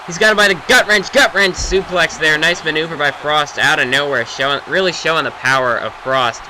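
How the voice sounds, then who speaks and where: A young man doing excited play-by-play for wrestling matches. His voice jumps up loudly on big moves, and he often restarts his phrases. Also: fairly high pitch, brisk, noisy background. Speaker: play-by-play commentator for his own video game matches.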